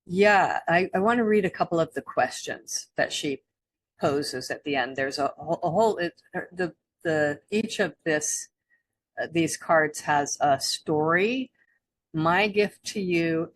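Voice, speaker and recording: Woman hosting a spiritual chat, low voice, slow, lots of restarts. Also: animated, low-quality recording. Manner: halting, many restarts, soft laughs. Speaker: co-host.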